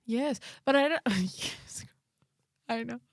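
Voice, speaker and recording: A woman's voice: sits high, steady tone, takes it slowly, gravelly, no room sound, clean studio sound. Chatty young woman from Morocco and Spain. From northern Morocco and Spain. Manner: chatty, rambling, many fillers and restarts. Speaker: podcast co-host. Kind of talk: casual chat.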